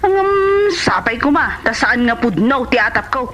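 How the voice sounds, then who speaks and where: A woman's voice: on the high side, big pitch swings, normal speed, a bit bright, soft background music. A woman acting a gossipy village neighbour and friend in an Ilocano comedy drama. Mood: speculating. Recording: dull lo-fi sound.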